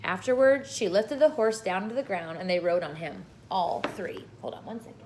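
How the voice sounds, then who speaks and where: A woman's voice: medium pitch, moderate pace, noisy background. A woman reading a children's story aloud as an informal storyteller. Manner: informal, with brief asides to listeners.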